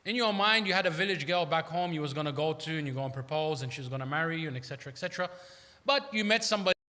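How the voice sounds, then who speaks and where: Bright-voiced male preacher in an echoey hall. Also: a little high, lively intonation, rapid-fire. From Iraq. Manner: conversational, emphatic, uses rhetorical questions. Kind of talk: sermon. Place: large hall at an Islamic centre. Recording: echoey hall with long reverb.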